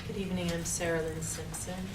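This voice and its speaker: A woman poet reading her own poem at a live reading, deliberately, in short separated phrases. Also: on the low side, little pitch movement. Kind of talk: poetry recitation at a live reading. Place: public library reading room. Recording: echoey room, distant mic, noisy background.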